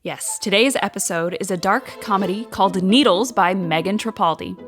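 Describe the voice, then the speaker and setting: A woman's voice: mid-range voice, moderate pace. A woman co-hosting a podcast with casual, playful banter and announcements. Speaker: podcast co-host and voice actor. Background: music.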